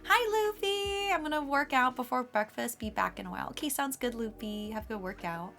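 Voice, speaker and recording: Chatty woman streamer, a bit raspy. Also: fairly high pitch, animated, brisk, a bit bright, faint music behind. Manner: chatty, laughs often, reacts to chat. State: slightly raspy voice. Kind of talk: casual live-stream chat.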